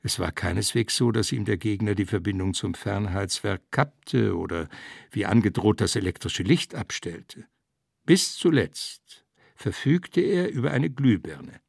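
A man narrating literary prose in a deliberate, emphatic way, breaking sentences into short phrases. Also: fairly low pitch, animated, creaky, breathy edge, no echo, clean studio sound.

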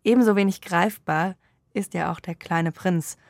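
Creaky-voiced woman interviewer. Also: lowish voice, moderate pace, dry recording. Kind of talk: prepared interview questions.

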